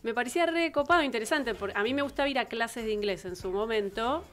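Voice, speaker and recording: A woman's voice: a little high, fast, a bit bright. A middle-aged woman with a Buenos Aires accent, chatting casually as a radio co-host into a close studio microphone. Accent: Buenos Aires Argentine. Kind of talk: casual chat. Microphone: close studio microphone with headphones.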